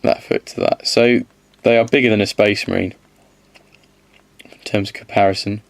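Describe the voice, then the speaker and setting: A man talks casually through a miniatures review, his voice a little hoarse from a cold. He sits somewhat low and speaks into a dry, close sound. He rambles, counts aloud and corrects himself as he goes. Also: fairly even intonation, normal speed, dry recording.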